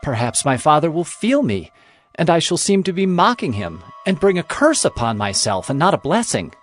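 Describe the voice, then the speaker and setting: Man acting a cautious biblical son, lively intonation. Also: a little high, normal speed. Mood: anxious. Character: younger son Jacob, a cautious, deceiving grown man. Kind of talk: dramatized Bible audio acting. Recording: music under the voice.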